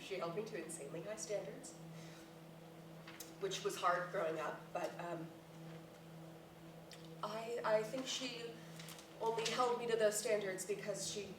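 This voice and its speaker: A woman's voice: medium pitch, steady tone, measured pace, pauses often, lightly acted. An actress playing a grieving, defensive middle-aged mother in a staged play reading, blunt and sardonic. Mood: tender, reflective. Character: grieving mother of a grown daughter, prickly and defensive. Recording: echoey noisy room, distant mic.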